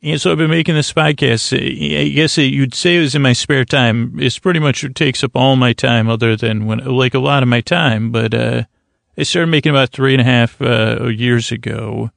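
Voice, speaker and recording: A man with a creaky voice hosting a bedtime podcast in a rambling, halting, stuttering, self-deprecating monologue. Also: mid-range voice, fairly even intonation, a bit bright, dry recording, clean studio sound. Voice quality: creaky, gravelly. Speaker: podcast host.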